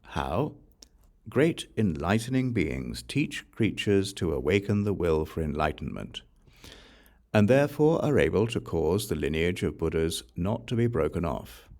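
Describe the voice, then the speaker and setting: A man reading scripture aloud as an audiobook narrator, solemn and formal, in measured phrases that fall away at the ends. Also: sits low, big pitch swings, a little mellow. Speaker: audiobook reader.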